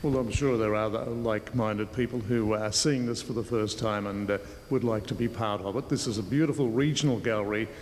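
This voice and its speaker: A man's voice: fairly low pitch, steady tone, brisk, few pauses, a little mellow. Australian male reporter in an echoey hall. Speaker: television news reporter. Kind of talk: on-location interview. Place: large indoor gallery. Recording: echoey hall with clear background noise.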